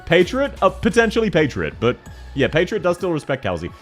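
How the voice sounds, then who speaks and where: A young man with a deep voice streaming a game and chatting with viewers, excitable and slangy, laughing often and quick to correct himself. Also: soft background music. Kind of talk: casual live-stream chat, answering viewer questions.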